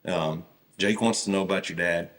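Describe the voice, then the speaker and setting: A man's voice: fairly even intonation, normal speed, fairly bright tone. A man hosting a podcast, asking questions and adding short affirmations during a casual interview. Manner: brief affirming interjections, gravelly low voice. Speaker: podcast host.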